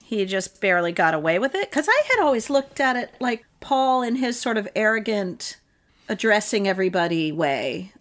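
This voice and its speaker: A woman with a mid-range voice co-hosts a relaxed faith podcast. She chats freely and jokes easily. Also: medium pitch, moderate pace. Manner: chatty, jokes and laughs easily. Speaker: podcast co-host. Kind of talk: casual chat on faith and scripture.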